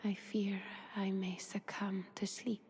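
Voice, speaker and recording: Sleepy, anxious fantasy woman for a game: breathy, formal, drawn-out delivery over music. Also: medium pitch, level tone, a little mellow. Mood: drowsy, fearful.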